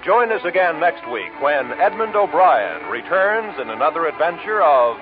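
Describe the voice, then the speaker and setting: A man's voice: a little high, sing-song, normal speed, over music. An American man reading scripted credits and promotions as an old-time network radio announcer. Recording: thin, phone-like old radio recording.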